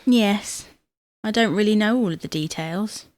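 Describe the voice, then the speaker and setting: A British actress playing an exasperated middle-aged wife in a domestic audio sitcom, with dry, bossy, gently teasing delivery. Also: female voice, mid-range voice, measured pace, natural voice. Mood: matter-of-fact. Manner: dry, scolding, sarcastic asides.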